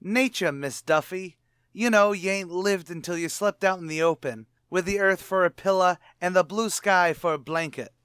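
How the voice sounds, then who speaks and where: A man's voice: high voice, moderate pace, a bit bright, clear, resonant tone, no room sound, studio quality. A man playing a brash, slangy, bluffing tavern manager who wisecracks in an old-time radio comedy. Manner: wisecracking, mock-grandiose.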